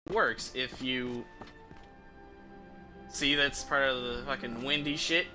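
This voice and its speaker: A man giving casual, halting video game commentary as he thinks aloud. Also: medium pitch, steady tone, music in the background.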